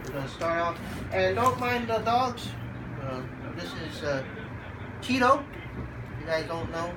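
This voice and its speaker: Slow, congested voice with background noise. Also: medium pitch, sing-song. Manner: halting, lots of fillers, chats to the dogs; slow, laid-back. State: congested from a cold. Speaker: channel host unboxing a computer. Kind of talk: casual unboxing vlog.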